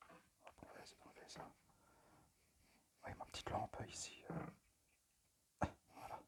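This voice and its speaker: Man whispering a hesitant ASMR presentation. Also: slow pace. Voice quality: breathy unvoiced whisper. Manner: hesitant, unpractised, apologetic. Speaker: amateur ASMR creator and game collector. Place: at a desk indoors. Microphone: phone microphone.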